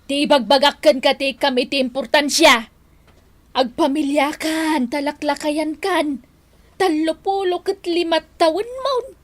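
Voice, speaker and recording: High-voiced, worried old mother. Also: unhurried. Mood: earnest. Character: worried, earnest elderly mother pleading with her son. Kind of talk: radio drama acting.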